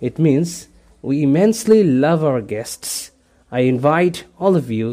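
A man from Bangladesh whose first language is Bengali, speaking English with a Bangladeshi accent as he reads a set passage aloud with careful, deliberate delivery. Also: a little high, sing-song, dark tone. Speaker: dialect archive speaker. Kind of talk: reading a set passage, then speaking about his culture.

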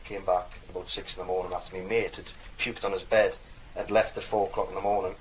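Young man, mild Geordie accent, very low voice, rambling anecdotal delivery, faint background hum. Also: level tone, fast, rough recording. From North East England. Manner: loose, rambling storyteller. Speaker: dialect archive speaker. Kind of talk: casual recorded anecdote.

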